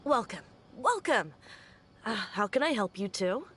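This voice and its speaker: A woman's voice: medium pitch, sing-song, measured pace, noisy background. An actress playing a friendly, welcoming female merchant in a busy port town in a video game. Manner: welcoming, chatty. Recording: game audio with faint music.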